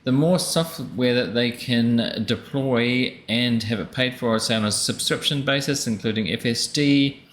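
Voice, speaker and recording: A man's voice: medium pitch, measured pace, few pauses. A man hosting a reaction show, giving casual, conversational commentary and repeating key points. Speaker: host reacting to earnings call clips. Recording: echoey room, clear background noise.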